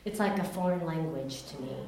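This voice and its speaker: A woman's voice: low voice, a little fast, a little mellow. Woman telling her story in an echoey hall. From Taiwan, raised in California. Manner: earnest, candid storytelling. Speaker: speaker giving a personal testimony. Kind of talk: personal testimony storytelling. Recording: very echoey room, distant mic, faint noise.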